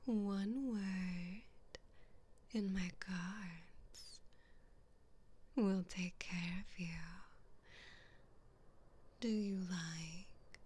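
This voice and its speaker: A woman playing a cruel, haughty evil queen in a whispered ASMR roleplay, taunting and condescending in a breathy voice. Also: medium pitch, fairly even intonation, drawn-out words, pauses often, dark tone. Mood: menacing, condescending.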